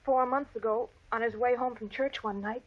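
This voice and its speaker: A woman's voice: on the high side, fairly even intonation, normal speed, husky. A woman playing an earnest, resolute young daughter of a slain preacher, with an American accent, in an old radio western. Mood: somber. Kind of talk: 1940s-50s radio western acting. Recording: dull vintage radio recording.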